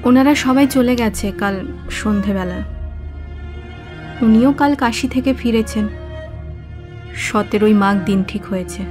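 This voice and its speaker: An actress playing a sober married woman in a radio play, grave and measured. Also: on the high side, over music, lightly acted. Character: sober young married woman, sister-in-law breaking bad news.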